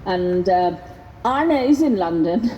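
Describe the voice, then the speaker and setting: A woman reading a speech aloud at an outdoor vigil, speaking into a microphone in a measured, deliberate way. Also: medium pitch, lively intonation, mellow, lo-fi sound. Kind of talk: public speech read aloud at a rally. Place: outdoors at a vigil by prison gates. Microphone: handheld microphone. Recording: noisy outdoor PA with long echo.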